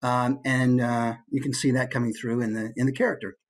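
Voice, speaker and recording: A man's voice: mid-range voice, fairly quick. A man giving a casual, playful instructional talk as a voice actor. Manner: animated, playful.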